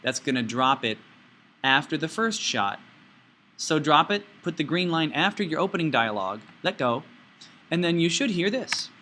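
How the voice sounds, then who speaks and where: A man teaching an informal step-by-step software tutorial, playfully talking himself through each action out loud. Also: on the high side, very animated, moderate pace, slight background noise.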